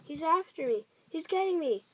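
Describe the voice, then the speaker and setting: A woman's voice: fairly high pitch, fairly even intonation, takes it slowly, breathy edge, heavily acted. A woman voicing a huge brutish male giant from a storybook, bellowing and pleading in frantic terror. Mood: terrified roaring. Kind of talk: dramatic storybook reading.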